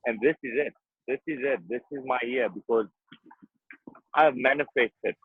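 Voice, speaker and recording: A boxer, talking earnestly and emphatically in an interview, often repeating himself. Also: male voice, medium pitch, moderate pace, slightly rough, dry recording. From South Africa. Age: 30s. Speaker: boxer guest. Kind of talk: interview conversation. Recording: tinny phone-like dry sound.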